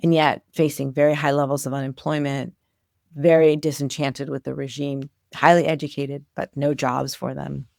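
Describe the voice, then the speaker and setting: A woman in her forties talks as an interview guest, spinning long stories full of "like" and "you know". Her voice has a gravelly, creaky edge. The sound is dry and close, with no room around it. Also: very deep voice, normal speed, no echo. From Iran. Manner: animated storyteller, many "like" and "you know" fillers. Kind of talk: casual interview storytelling.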